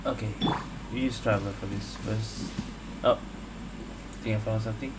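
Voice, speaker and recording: Very low-voiced woman gamer, casual. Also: fairly even intonation, normal speed. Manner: muttering reactions, thinking aloud. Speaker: gamer playing and reacting. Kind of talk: casual game commentary. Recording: noisy home mic with hum.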